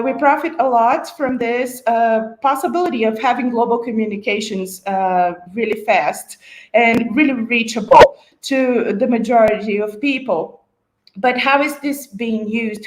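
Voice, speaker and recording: A woman with a fairly high voice moderates a panel, feeling her way through long questions with many pauses and fillers. Her voice carries the echo of a roomy space.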